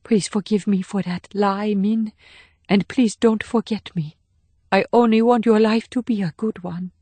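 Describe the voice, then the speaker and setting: A man reading a story and voicing a young woman agent who speaks softly and tenderly to a child. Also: sits high, moderate pace, no room sound, pitched above the performer's own voice, lightly acted. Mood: sorrowful. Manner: soft, hushed, gentle.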